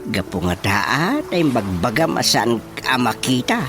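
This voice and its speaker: A man playing an excitable old father in an Ilocano radio comedy-drama, animated and exclamatory, with an Ilocano accent. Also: mid-range voice, a little slow. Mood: earnest, secretive. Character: excitable old Filipino father telling of little mushroom guardians. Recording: music bed behind the voice.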